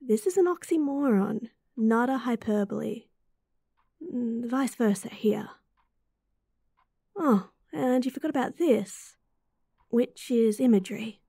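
Woman acting as a young female best friend, Australian accent, caring, teasing, slightly oblivious, soft breathy voice with some creak, relaxed, roleplay audio. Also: fairly high pitch, takes it slowly, long pauses, no room sound, studio quality, full character voice. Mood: studious, teasing. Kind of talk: roleplay audio acting, intimate and softly spoken.

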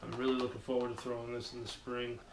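Flat-voiced young man in an echoey room. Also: medium pitch, fast talker. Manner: relaxed, rambling with many fillers. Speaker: fishing channel host. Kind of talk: casual unboxing vlog. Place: small dim living room, indoors. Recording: echoey room with background noise.

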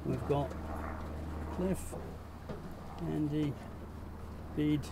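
Surveyor, middle-aged, slightly high voice, outdoor marina noise behind. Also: male voice, fairly even intonation, very slow, vocal fry. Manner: practical, thinks aloud with restarts. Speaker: surveyor demonstrating a heel test. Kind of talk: on-site technical demonstration. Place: outdoor marina, on a small boat.

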